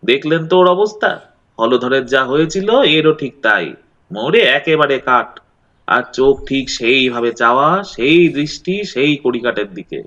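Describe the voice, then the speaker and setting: A man playing emphatic, scolding local gentleman Bhabatosh. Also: medium pitch, lively intonation, unhurried, lo-fi sound. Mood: grim, emphatic. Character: Bhabatosh Majumdar, scolding local gentleman telling of a grim death.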